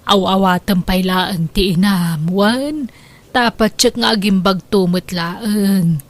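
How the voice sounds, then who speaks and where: A woman acting as an elderly grandmother in a radio play speaks slowly and softly coaxes. She sounds long-suffering, gentle and sorrowful, pleading with patience. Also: medium pitch. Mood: weary, concerned.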